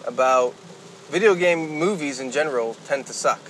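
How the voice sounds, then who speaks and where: A man's voice: mid-range voice, moderate pace, fairly bright tone, slight background noise. A man co-hosting a podcast in casual, loose chat with some mild swearing. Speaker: podcast co-host.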